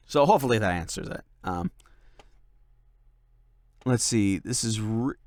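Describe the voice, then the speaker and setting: A young man answers viewer questions in loose, rambling chat, his pitch bouncing around in a lively way. His speech is full of fillers and restarts. The recording is close and dry. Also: unhurried, no room sound. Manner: rambling, filler-heavy, many likes and ums. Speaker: channel host and voice actor. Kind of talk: casual Q&A chat.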